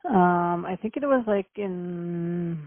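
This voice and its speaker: A middle-aged woman from Manitoba, Canada, answering interview questions by phone in an earnest, halting way. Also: on the low side, no echo. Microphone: telephone. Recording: tinny phone line.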